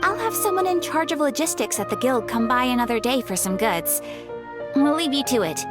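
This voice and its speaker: A poised, formal receptionist from an adventurers' guild. Her polite voice is high and lively, heard over game music. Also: brisk. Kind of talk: video game voice acting.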